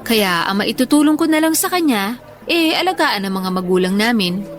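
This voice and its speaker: A woman playing a tired, affectionate young schoolteacher in a Filipino radio love drama, speaking softly and earnestly. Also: a little high, expressive, normal speed, soft background music, close to an everyday voice. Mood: weary, confiding.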